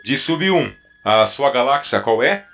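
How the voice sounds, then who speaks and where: A calm disembodied mind from a far galaxy, voiced by a man, speaks with a gravelly creak. The male voice sits at medium pitch in a science fiction audio drama. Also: unhurried, exaggerated voice.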